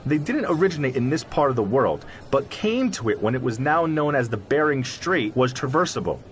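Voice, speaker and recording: A man's voice: medium pitch, big pitch swings, fairly quick, slight background noise, natural voice. A man playing an articulate, self-assured zoologist explaining his field in an audio drama interview, in an emphatic, professorial manner. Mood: confident, explanatory. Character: articulate, self-assured male zoologist who believes in Sasquatch. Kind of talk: audio drama interview, scripted but conversational.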